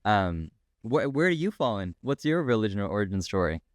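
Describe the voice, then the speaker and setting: A man with a smooth, resonant voice pitched on the low side asks a guest loose, chatty questions, tacking "right?" onto his points. The recording is close and dry. He sounds like a relaxed interview podcast host. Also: a little fast, dry recording. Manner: chatty, tag questions like "right?", laughs easily.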